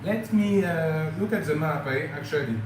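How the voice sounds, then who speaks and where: A man's voice: a little high, lively intonation, moderate pace. A man with a French accent, a native French speaker, chatting casually with viewers on a travel vlog livestream, hesitant and full of fillers. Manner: hesitant, many fillers, addresses viewers as guys. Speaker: travel vlogger. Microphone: phone or camera mic, live stream. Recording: very echoey room with clear background noise.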